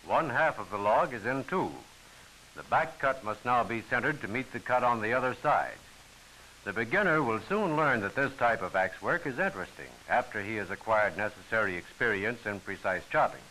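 A man's voice: medium pitch, expressive, moderate pace, bright, forceful tone, a little hiss or hum, poor audio. A man giving formal, instructional scripted narration for a training film, on an old film soundtrack.

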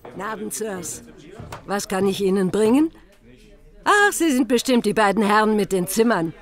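A woman's voice: medium pitch, animated, normal speed, natural voice. Female actor as plain-spoken village landlady for audio drama. Gossipy, colloquial and confiding, in a medium register. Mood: chatty. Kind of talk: radio drama acting.

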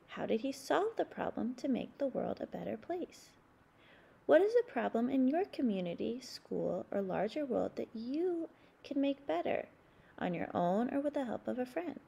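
A woman hosts a read-aloud for young children with careful, expressive phrasing. Her voice is high and soft-edged, on the mellow side, with a breathy husk running through it. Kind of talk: read-aloud storybook narration with discussion questions.

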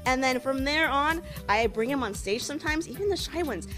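High-voiced, enthusiastic young woman. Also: a little fast, faint music behind. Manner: gushing, animated enthusiasm. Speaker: puppeteer, ventriloquist and teacher. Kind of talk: enthusiastic live interview. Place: small cluttered classroom. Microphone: reporter's handheld microphone.

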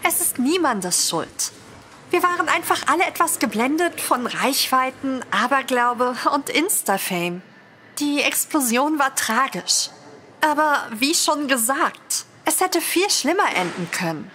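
Woman playing a high-voiced, bossy mothering friend. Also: very animated, measured pace, bright, forceful tone, slight background noise, heavily acted. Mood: earnest, conciliatory. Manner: scolding, playful, firm. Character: bossy, teasing young woman who mothers her squabbling friends. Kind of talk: audio drama acting.

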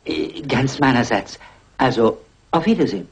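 An actor playing a mild, anxious, loyal gentleman helper in a German radio crime play, hesitant and polite. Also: medium pitch, expressive, moderate pace, breathy edge. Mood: flustered, polite.

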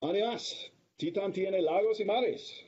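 Middle-aged guy, american accent, non-native speaker giving a talk over video call, dark voice, muffled lo-fi sound. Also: high voice, slightly rough. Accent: American English. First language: English. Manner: slow, careful non-native delivery with many hesitations. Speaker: guest lecturer. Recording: dull lo-fi video call audio.

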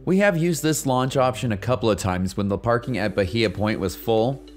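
A man's voice: mid-range voice, moderate pace. A man narrating a scripted kayaking travel vlog as a voice-over, giving friendly, informative tour-guide directions. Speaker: kayaking vlogger. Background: soft background music.

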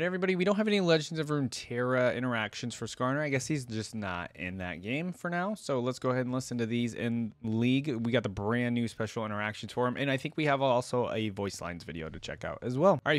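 A man's voice: medium pitch, no echo. A young adult man giving casual, chatty reaction commentary and reading aloud, close to a desktop microphone. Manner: chatty, informal. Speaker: reaction video host. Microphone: desktop microphone close to the mouth.

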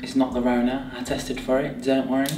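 A young woman talks through a try-on haul, her voice hoarse and a little croaky from a sore throat. She is chatty and bubbly, full of playful asides. The sound bounces around an echoey room, picked up by a camera set across the room. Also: extremely low pitch, little pitch movement, brisk, very noisy. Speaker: fashion vlogger. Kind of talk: casual try-on haul chat. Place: bright indoor room at home.

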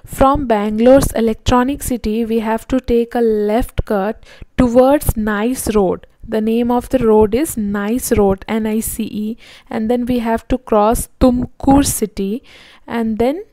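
Woman, travel vlogger, plain informative, in a car, mellow tone. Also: on the high side, fairly even intonation, unhurried, no echo, poor audio. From India. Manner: plain, informative, addresses viewers directly. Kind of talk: casual road trip narration.

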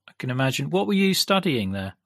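A man's voice: medium pitch, sing-song, quick pace, creaky, fairly bright tone, no echo, clean studio sound. A man hosting a podcast, asking short interview questions. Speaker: podcast host.

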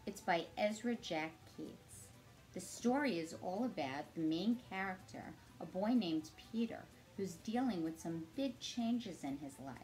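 A middle-aged woman gives an expressive storybook read-aloud for children. She takes her time, with lively, varied pitch, and soft music plays faintly behind her. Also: measured pace, poor audio. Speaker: teacher reading aloud to children.

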